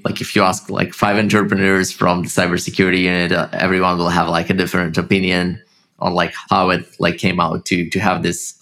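Low-voiced man, Russian-tinged accent. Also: fairly bright tone. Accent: Russian-tinged Israeli English. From Israel. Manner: halting, many fillers and restarts. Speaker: startup founder guest. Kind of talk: casual interview talk.

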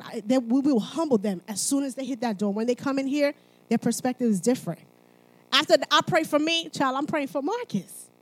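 A woman's voice: fairly high pitch, low-quality recording. A woman from Haiti speaking at church, mixing sermon and personal testimony in a conversational, emphatic manner, often checking in with listeners.

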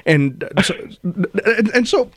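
A man's voice: high-pitched, lively intonation, slow pace, no echo. A man from Malaysia filling in as host of a radio talk show, speaking earnestly and often repeating words as he starts a thought.

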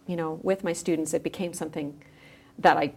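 A woman speaking as a podcast guest, explaining her creative work in casual conversation with halting phrasing. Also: deep voice, steady tone, a little mellow, breathy edge. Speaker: podcast guest, musician and educator.

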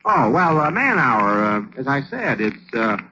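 A man playing an exasperated, sardonic but patient American businessman husband in a 1940s radio comedy, talking in a dry, halting way. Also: mid-range voice, sing-song, pushed and bright. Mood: puzzled, patient. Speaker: comedy actor. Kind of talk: 1940s radio sitcom acting. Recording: dull, crackly old radio recording.

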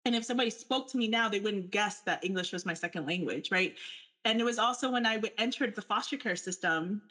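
A woman's voice: mid-range voice, fast talker, bright, forceful tone, husky. A young adult woman speaking earnestly and candidly in a panel discussion, talking through her thoughts with fillers. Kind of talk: personal reflection in a panel discussion.

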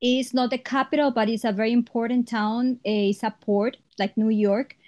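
A woman from Ecuador with an Ecuadorian Spanish accent, a Spanish teacher and guest, chatting in an animated way with laughs and restarts. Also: on the high side, moderate pace. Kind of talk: casual interview talk.

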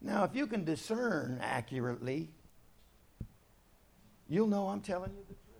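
A middle-aged man preaching a sermon in a conversational way, dropping to quiet asides and rising to loud, emphatic points. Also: high-pitched, sing-song, normal speed, vocal fry. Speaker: pastor.

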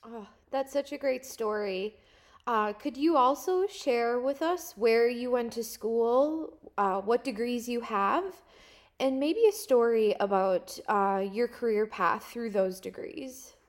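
This is a woman hosting an interview podcast. Her voice sits a little higher than average for a woman. She introduces and questions in a friendly, prepared style. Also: takes it slowly. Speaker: podcast host.

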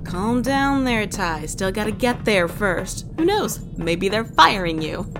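A woman playing a cheerful young female botanist on a spaceship crew, with a bright, playful way of talking. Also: medium pitch, lively intonation, slow, over music. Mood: teasing.

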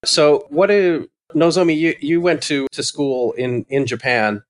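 A man hosting a casual podcast chat, speaking haltingly with many "uh" fillers. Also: fairly high pitch, steady tone. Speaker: podcast host, society committee member.

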